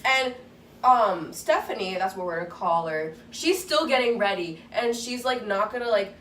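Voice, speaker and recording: A woman's voice: medium pitch, lively intonation, normal speed, a bit bright. A teenage girl with a babyish voice telling a story in a rambling, casual way, with lots of swearing. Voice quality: youthful, babyish voice. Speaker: vlogger. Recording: echoey room, distant mic, faint noise.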